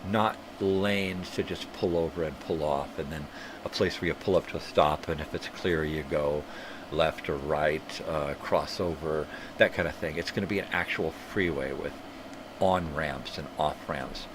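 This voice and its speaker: A man's voice: rumbling low, fairly even intonation, measured pace. An older man hosting a live stream, rambling through casual, profane tangents while sniffly from a cold. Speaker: livestream host. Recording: clear background hum.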